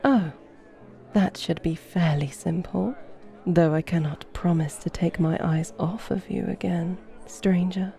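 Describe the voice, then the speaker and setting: A woman's voice: lowish voice, measured pace, gravelly, mellow. A woman playing a seductive, mischievous vampire in an ASMR roleplay, speaking in a flirtatious, languid, formal way.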